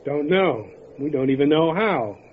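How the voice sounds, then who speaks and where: Actor as a higher-voiced, grave mountain sheriff. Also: male voice, animated, measured pace. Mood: grave warning. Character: steady, wary male mountain sheriff. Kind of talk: old-time radio horror acting. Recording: muffled, faintly noisy lo-fi radio recording.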